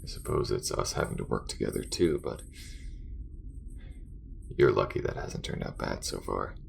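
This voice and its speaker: A man acts out a guarded alien crewmate for an audio roleplay, extremely low and thick with gravelly fry. He mutters haltingly and grudgingly, gruff but anxious underneath, his pitch dipping and rising over a faint hiss. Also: animated, full character voice. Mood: tired, grudging. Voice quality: very deep, gravelly. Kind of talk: intimate ASMR roleplay acting.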